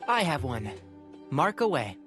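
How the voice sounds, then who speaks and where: A woman playing an easygoing, teasing young traveler in a video game, husky, casual and wry. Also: low-pitched, sing-song, a little slow, a bit bright, heavily acted. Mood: upbeat, decisive. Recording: game music behind.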